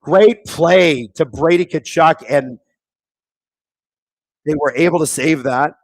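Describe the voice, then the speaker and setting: A man with a thin, raspy, hoarse voice hosts a live hockey watchalong, chatting casually, reading viewer comments and calling plays excitedly. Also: on the high side, slow pace, no room sound, rough recording. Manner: casual, reads viewer chat aloud; excitable play-by-play on big moments. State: hoarse, voice squeaking. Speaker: watchalong host. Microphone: streaming microphone.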